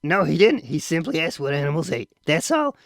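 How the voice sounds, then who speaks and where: A stern industrialist father who presses his son with clipped questions, as a male reader plays him. His voice sits a little above the reader's own, gravelly and dry. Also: on the high side, big pitch swings, a little fast, no echo, pitched above the performer's own voice. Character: stern wealthy father, firm and impatient.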